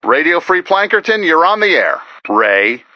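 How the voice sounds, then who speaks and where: An excitable male radio DJ broadcasting to survivors in an apocalypse game. His pitch sits high and swings widely as he talks, earnest and wry at once. The sound is slightly dull and lo-fi. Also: a little slow, no room sound. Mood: wry, exasperated. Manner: dramatic, urgent, wry, conversational. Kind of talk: video game voice acting, radio broadcast monologue.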